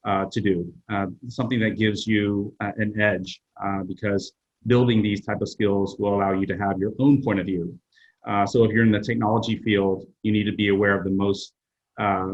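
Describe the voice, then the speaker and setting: Low-voiced man, measured with many pauses. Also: highs cut off. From Vietnam. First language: Vietnamese. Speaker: HR executive guest. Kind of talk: interview answers.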